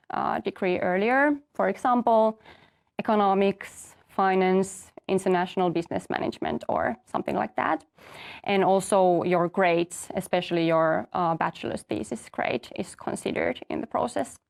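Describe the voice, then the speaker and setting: A young woman from Finland, a master's student, calmly explaining her path and the application steps in an info session. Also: medium pitch, creaky. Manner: orderly, explanatory, light fillers. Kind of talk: answering questions in an info session.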